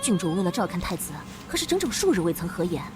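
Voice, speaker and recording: A woman's voice: lowish voice, sing-song, fast talker, music in the background. A woman voicing a young palace maid who gossips indignantly about her mistress. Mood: gossipy. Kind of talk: animated drama dubbing.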